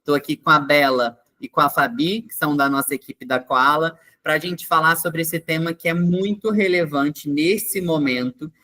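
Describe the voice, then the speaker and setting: A man's voice: on the high side, moderate pace, few pauses, sharp tone. Chatty man hosting a webinar. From Minas Gerais, Brazil. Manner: chatty, many fillers like "né" and "tá". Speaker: webinar host, experience coordinator. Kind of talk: online webinar presentation. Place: online video call.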